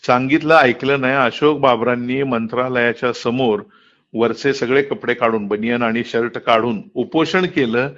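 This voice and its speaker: A man's voice: mid-range voice, fairly even intonation, normal speed, fairly bright tone. A middle-aged man explains things earnestly and in detail, mixing in English words, in the manner of a college principal. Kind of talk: earnest explanatory phone conversation. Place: phone call. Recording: muffled lo-fi phone call recording.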